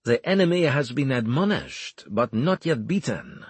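A man's voice: mid-range voice, very animated, moderate pace, slightly rough, no room sound, pitched above the performer's own voice. A man performing a grandiose, sarcastic military commander. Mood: confident. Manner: florid, mocking formal speech. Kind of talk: audiobook character acting.

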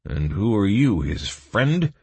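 A stern fantasy prison guard played by a male narrator growls out orders with grim impatience. The voice is husky and rough, lifted from a low natural register into forceful barking, in a dry storybook recording. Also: very animated, slow, dry recording, heavily acted. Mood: suspicious.

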